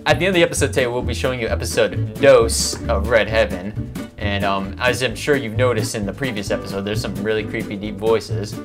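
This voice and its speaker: A man's voice: fairly low pitch, fairly even intonation, fairly quick, over music. A man in his twenties co-hosting a tutorial in casual, joking chat. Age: 20s. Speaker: tutorial co-host.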